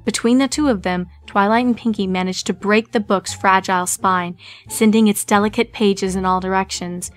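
A woman's voice: medium pitch, steady tone, moderate pace. A woman reading scripted fan fiction as a story narrator. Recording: music behind the voice.

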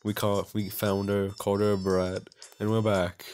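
A man's voice: lowish voice, little pitch movement, normal speed, a little mellow, slight background noise. A man doing casual playthrough commentary and reading game text aloud in a goofy, self-mocking way. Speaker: let's player.

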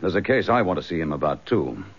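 An actor playing an embattled, indignant British police chief inspector in a radio drama, clipped, forthright and sardonic. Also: male voice, deep voice, sing-song, quick pace, slightly rough, heavily acted. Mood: determined. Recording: slightly dull radio drama recording.

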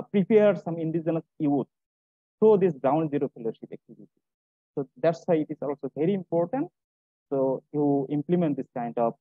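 A middle-aged man speaking haltingly as a panelist in a webinar, in non-native English. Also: on the high side, no echo. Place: indoor room, on a video call. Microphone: wired earphones on a video call. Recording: tinny, phone-like video-call audio.

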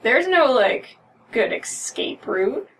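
A woman's voice: high voice, big pitch swings, slow. An American woman in her thirties chats casually as a podcast co-host, with wry, sweary commentary. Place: small room at home, indoors. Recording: distant mic, noisy room.

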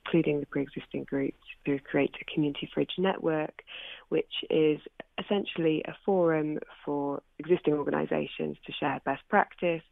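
A British woman explaining as an interviewee on radio, measured and hedging in her manner, over a phone-like line. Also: deep voice, gravelly, dry recording. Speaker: spokesperson for an environmental charity, interviewee.

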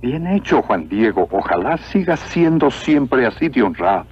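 A man's voice: mid-range voice, very animated, normal speed, darkish tone, close to an everyday voice. A man playing a kindly old missionary friar in a cartoon, fatherly and encouraging. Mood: fatherly, approving. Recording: muffled lo-fi cartoon soundtrack.

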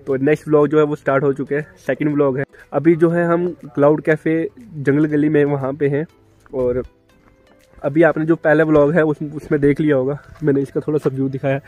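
A man's voice: fairly high pitch, a little mellow. A young man with a slightly raised voice talks to his phone at arm's length in casual vlog style. He is outdoors on a cold, rainy hillside. Faint music plays under his voice. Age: young adult. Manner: chatty, talks to camera while riding trip. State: feeling cold. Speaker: travel vlogger and biker. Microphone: handheld phone selfie.

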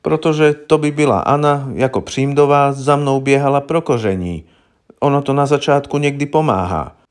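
A shrewd old country herbalist woman from an audiobook, acted by a man, pitched up well above a narrator's register. She speaks in blunt, rustic turns of phrase, wary and gruff, as one who knows everybody's business. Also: lightly acted. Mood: knowing, matter-of-fact. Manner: rustic colloquial Czech, blunt and knowing. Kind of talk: audiobook character voice.

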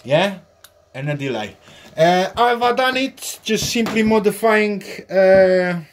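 A man giving an informal hands-on demonstration as a tutorial host, speaking in a halting, hesitant way. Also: high voice, expressive, a little hiss or hum. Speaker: host of a hobby radio tutorial. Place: small indoor workbench area.

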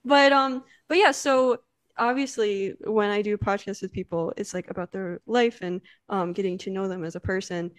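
A woman's voice: medium pitch, fairly even intonation, normal speed, no echo. A young American woman hosting a podcast, chatting casually on a studio sofa, bubbly and giggly with many likes and ums. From United States. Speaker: podcast host. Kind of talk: casual interview chat. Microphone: handheld dynamic mic on a boom arm.

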